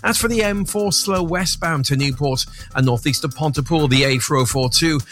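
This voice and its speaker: A man reading a radio traffic report in a brisk, matter-of-fact way. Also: on the high side, a bit bright, faint music behind. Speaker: travel reporter.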